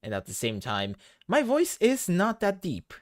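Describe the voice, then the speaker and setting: A young woman with a deep voice chatting casually as a stream host, relaxed and laughing. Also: a little slow, slight background noise. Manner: relaxed, laughing, talks while doing things on screen. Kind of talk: casual chat.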